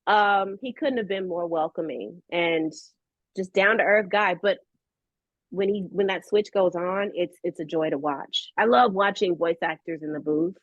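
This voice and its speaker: An American woman chatting casually as an interview guest, expressive, earnest and effusive in how she talks. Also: fairly low pitch, a little slow, no echo, lo-fi sound. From California, USA. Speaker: voice actress, podcast guest.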